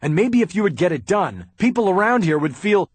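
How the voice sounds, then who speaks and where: A man's voice: medium pitch, very animated, moderate pace, slightly rough, dry recording, pitched above the performer's own voice. A man voicing a defensive, sarcastic defense lawyer who talks in a heated, insistent way. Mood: defiant.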